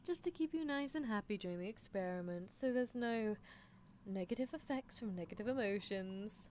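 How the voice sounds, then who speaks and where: A woman's voice: medium pitch, lively intonation, normal speed, a little mellow, a little hiss or hum, natural voice. A woman playing a playful, bossy British female scientist in an ASMR roleplay, teasing and coaxing with clinical asides. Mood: dry, coaxing. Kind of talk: ASMR roleplay livestream in character.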